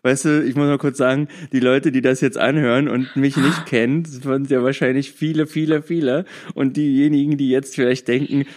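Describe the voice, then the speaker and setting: A man's voice: mid-range voice, steady tone, brisk, few pauses, a little mellow. A man in casual podcast chat from his living room couch, talking with many fillers and restarts and often laughing. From Germany. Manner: chatty, hesitant, laughs often. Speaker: podcast guest. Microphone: laptop call.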